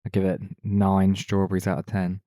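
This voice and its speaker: A man's voice: low voice, monotone, moderate pace, warm tone, no echo, clean studio sound. A man co-hosting a film podcast, talking casually about movies.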